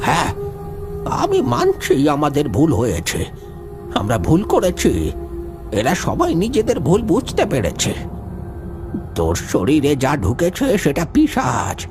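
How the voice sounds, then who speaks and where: A man's voice: a little high, wildly swooping pitch, a little slow, pauses often, voice pushed up. A man voicing an earnest village uncle who reasons and pleads in a horror story. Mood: earnest, pleading. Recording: music behind the voice.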